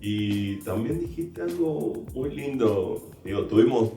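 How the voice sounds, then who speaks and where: A man's voice: medium pitch, expressive, slow, gravelly, dark tone. A man with an Argentine accent hosting an interview in a casual, chatty style. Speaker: interview host. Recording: distant, echoey mic with music behind.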